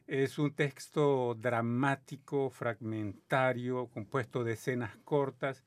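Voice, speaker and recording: A man hosting a radio interview, asking measured, deliberate questions. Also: mid-range voice, fairly even intonation, no echo. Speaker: radio host.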